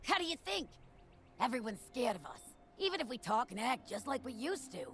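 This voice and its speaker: A woman's voice: fairly high pitch, sing-song, a little fast, a bit bright, faint music behind. A woman playing a tough, wisecracking, jaded ghoul brawler in a video game, talking in cocky street slang with a gritty edge. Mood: bitter. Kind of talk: video game character dialogue.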